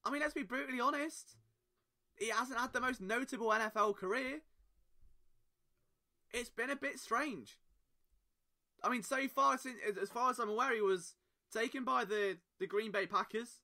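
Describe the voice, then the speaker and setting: Male podcast host, high and very bright voice, impassioned solo monologue. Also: normal speed, pauses often. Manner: impassioned, emphatic rhetorical questions.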